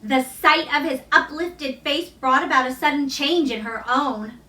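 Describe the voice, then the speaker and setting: A woman reading a children's novel aloud as expressive storybook narration. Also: high voice, unhurried. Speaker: storybook reader. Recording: roomy echo, distant microphone.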